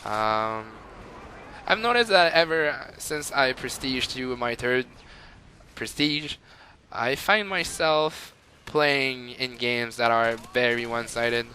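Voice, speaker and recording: A young man with a light Quebec French accent talks over his own gameplay. His voice is bright and forward, and he rambles with fillers and chuckles. There is a faint hiss under it. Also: mid-range voice, takes it slowly. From Montreal, Canada. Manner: rambling and chatty, with frequent fillers and laughs. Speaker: gamer. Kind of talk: gameplay commentary.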